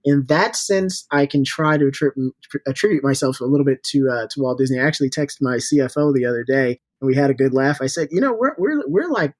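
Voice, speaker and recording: Man, podcast guest, medium pitch, casual conversational answers, upbeat, encouraging, dry sound. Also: animated, fairly quick, no echo. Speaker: podcast guest, startup CEO and founder.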